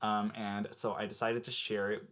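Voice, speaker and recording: A man's voice: on the low side, level tone, moderate pace, a bit bright. A young man from the Netherlands speaking English with an American accent, his first language Dutch, chatting to camera with lots of fillers. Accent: American-sounding English. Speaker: vlogger. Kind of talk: casual talk to camera.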